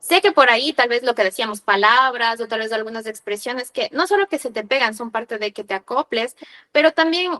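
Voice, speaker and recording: Woman w a quito accent, firm and earnest, a bit high pitched. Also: brisk, bright, forceful tone. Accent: Quito Ecuadorian. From Ecuador. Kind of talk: casual chat.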